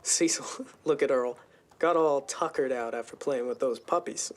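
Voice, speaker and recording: A man playing a gentle young husband and father in an audio drama, speaking softly and soothingly. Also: medium pitch, moderate pace, close to an everyday voice. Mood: soothing, content. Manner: soft, soothing.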